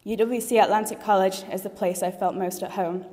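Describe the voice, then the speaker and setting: A graduating girl in her late teens speaks at a leavers' assembly, earnest and heartfelt, with the odd joke. Her voice sits at an ordinary middle pitch for a young woman. The sound carries the long reverb of a large hall. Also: steady tone, brisk, warm tone. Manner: earnest and heartfelt, with light jokes. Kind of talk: formal graduation speech.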